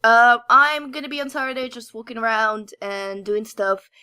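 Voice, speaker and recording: A woman co-hosting a podcast in casual chat, with a playful, expressive way of talking. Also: a little high, moderate pace, clean tone, no echo, clean studio sound. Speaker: podcast host.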